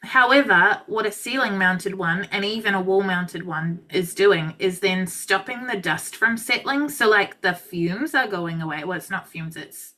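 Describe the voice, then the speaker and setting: A woman's voice: mid-range voice, unhurried, hardly any pauses, pushed and bright. A woman hosting a casual podcast chat as a nail educator, explaining things in a chatty way with lots of fillers.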